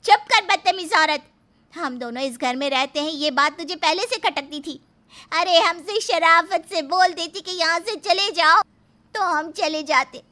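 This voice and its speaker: A woman's voice: very high voice, measured pace, pushed and bright, full character voice. A woman playing a hot-tempered married sister-in-law in a dramatised moral story, indignant, insulting and accusing. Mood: furious, accusing.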